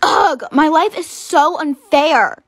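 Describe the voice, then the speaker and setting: A woman's voice: high-pitched, animated, takes it slowly, dry recording. A woman voicing a put-upon but hopeful teenage girl, earnest and given to complaining. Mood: sulky.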